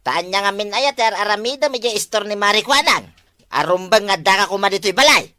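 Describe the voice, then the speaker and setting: A fretful, nosy village neighbor acted by a woman in an Ilocano comedy drama. The voice is bright and forceful, set at an ordinary middle pitch. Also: lively intonation, brisk, no room sound, clean studio sound. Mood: gossipy, grumbling.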